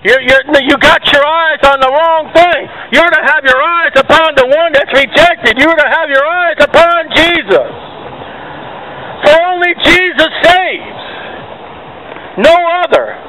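High-voiced man preaching on the street. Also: expressive, a little slow, slight background noise, poor audio. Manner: loud, declamatory, scolding the crowd. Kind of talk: open-air street preaching. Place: outdoors at a farmers market.

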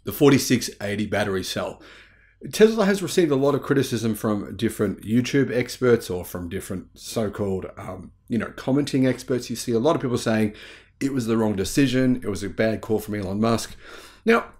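Male YouTube host, forties, lowish voice, talks news to camera with conversational emphasis. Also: expressive, fairly quick, darkish tone. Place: small home room, indoors.

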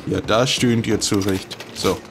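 A man's voice: on the low side, steady tone, measured pace, noisy background. A man giving casual, dry, joking commentary as he plays a video game. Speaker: let's player commenting on gameplay.

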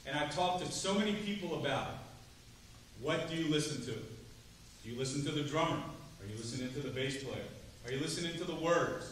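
A man's voice: a little high, a little fast. Chatty man teaching in an echoey hall. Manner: lively, chatty, asks the room questions. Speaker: drum clinician. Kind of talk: percussion clinic talk to students. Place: large rehearsal hall, standing before seated students. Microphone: far camera, no mic. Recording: roomy hall from far off, faint noise.

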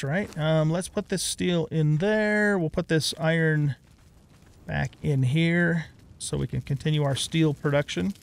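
Casting: middle-aged male gamer host, gravelly fry, slightly high pitch, casual rambling commentary. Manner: rambling, thinking aloud. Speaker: let's play host.

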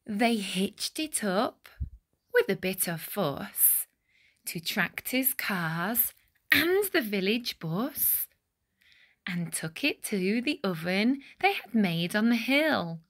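Very slow, animated woman storyteller. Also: medium pitch. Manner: deliberate, dramatic phrasing. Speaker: picture book reader. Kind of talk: expressive read-aloud of a rhyming children's storybook.

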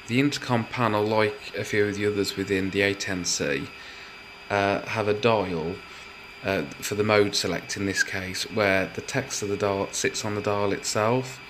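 Male hobbyist presenter, lower voice, methodical explanatory delivery, slight noise behind. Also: steady tone, moderate pace, a bit bright. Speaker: hobbyist builder presenting his project. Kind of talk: explanatory build walkthrough.

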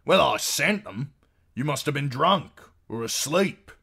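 Man playing grumbling innkeeper husband, raised forceful voice. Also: on the high side, expressive, a little slow, creaky, breathy edge, voice pushed up, exaggerated voice. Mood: defensive, accusing. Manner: aggrieved, folksy ungrammatical speech. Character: harried, grumbling innkeeper husband, defensive and rustic.

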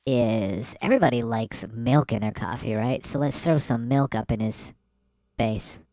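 A woman hosting a let's play, giving excitable, playful game commentary with gasps and shrieks at surprises. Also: rumbling low, dry recording, studio quality.

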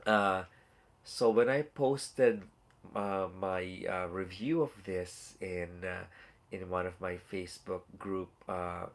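A man's voice: sits low, a bit bright. A man in his forties with a stuffed-up, nasal voice, chatting casually and rambling with live viewers from a small room at home, recorded on a phone or webcam at arm's length. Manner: rambling and chatty, full of fillers and asides to viewers. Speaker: toy collector hosting a live unboxing. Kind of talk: casual live-stream chat.

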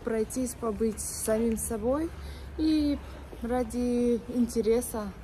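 A woman's voice: fairly high pitch, slow, warm tone. A woman co-hosting a casual travel vlog, eagerly chiming in and agreeing as they walk. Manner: enthusiastic, chimes in with agreement. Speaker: vlogger. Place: outdoors on a city street. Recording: street noise behind.

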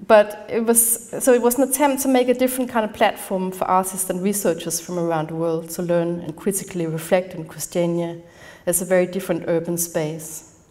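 A woman from Denmark with a Danish accent, giving an academic conference talk in a measured manner, partly reading from a prepared text. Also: on the low side, fairly even intonation, dark tone. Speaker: conference speaker. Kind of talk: academic lecture. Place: large darkened lecture hall.

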